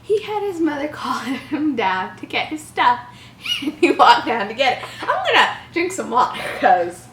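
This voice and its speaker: A woman in her early twenties reading a story comedically, animated, with casual mocking commentary. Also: sits high, a little slow. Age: early 20s. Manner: giggly, mocking asides, animated. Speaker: channel host. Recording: roomy echo, faint background noise.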